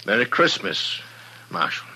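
A stubborn old-time radio western drifter, a grieving ex-skipper with a low, very sharp, forceful voice. He talks in plain folksy phrases, on a vintage recording with some faint noise. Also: sing-song, heavily acted, poor audio. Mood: hopeful. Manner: folksy, rustic phrasing with halting confessions.

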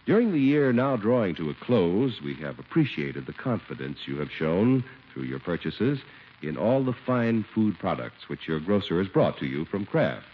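A man's voice: low-pitched, big pitch swings, normal speed, slight background noise. An American man reading scripted sponsor announcements and narration as a 1950s radio announcer. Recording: dull old radio broadcast recording.

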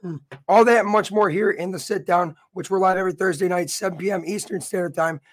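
A man with a raspy voice hosting a live sports show, talking upbeat and straight to his viewers. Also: sits high, quick pace. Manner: upbeat and promotional, addressing viewers directly. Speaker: host.

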